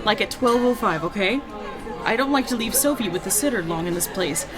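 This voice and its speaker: A woman's voice: medium pitch, animated, fairly quick, lightly acted. A woman playing an anxious, wistful young mother and wife in a radio drama. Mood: wistful, worried. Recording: music and party crowd behind.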